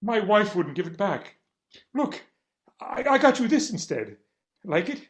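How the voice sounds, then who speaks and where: A man acts out a stifled English attorney for a stage comedy. He is fussy and earnest, openly lovestruck and self-deprecating, with a voice that swings widely in pitch. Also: very animated, measured pace. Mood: apologetic, smitten. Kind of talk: comic stage readers theater acting.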